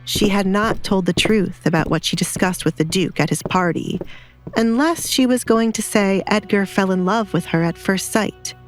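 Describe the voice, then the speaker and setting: A woman's voice: mid-range voice, moderate pace, poor audio. A woman narrating a scripted dramatic story as an audiobook narrator. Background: background music.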